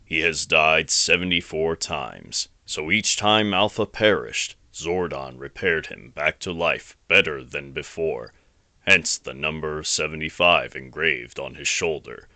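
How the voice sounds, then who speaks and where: A man's voice: rumbling low, measured pace, bright, forceful tone, dry recording. A man narrating a scripted audio drama in a dramatic storytelling style.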